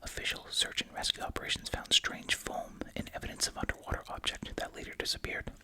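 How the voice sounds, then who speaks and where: Breathy whisper, unvoiced, ASMR host, casual musing delivery. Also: fairly quick. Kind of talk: whispered ASMR, reading reports with casual asides.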